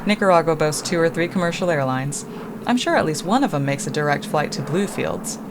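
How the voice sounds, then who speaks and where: Widow, female actor: slightly low voice, earnest, overdone assurances, old radio drama, vehicle drone behind. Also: animated, brisk, noisy background. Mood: earnest, gushing. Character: widow, earnest, gushing and ingratiating female suspect. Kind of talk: 1950s radio drama acting.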